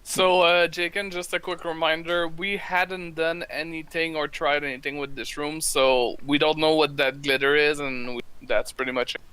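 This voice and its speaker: A man playing a tabletop game, joking quickly in casual banter. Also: fairly high pitch, little pitch movement, bright, forceful tone, smooth, low-quality recording. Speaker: player.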